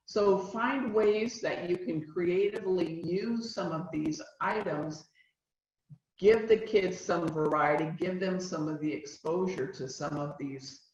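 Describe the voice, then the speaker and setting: A woman trainer presenting a school nutrition webinar, with a loud voice that carries and many fillers. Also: lowish voice, a little hiss or hum. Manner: chatty, uses many fillers. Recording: roomy, dull lo-fi sound.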